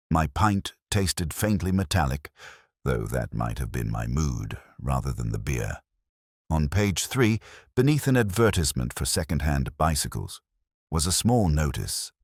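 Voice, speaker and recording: Guy doing a brooding older detective telling a mystery story, super deep, measured but varied pitch, dry close sound. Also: breathy edge, no echo, full character voice. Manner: measured, reflective, literary. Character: melancholy, cerebral, brooding male English police detective. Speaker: narrator. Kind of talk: first-person scripted mystery narration.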